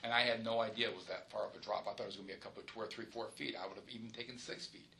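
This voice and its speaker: A middle-aged man answers questions in a formal interview, firm and insistent. His voice sits a little low and has a bright, forceful edge. The room is noisy behind him. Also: fairly even intonation, racing, creaky. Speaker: police officer being interviewed.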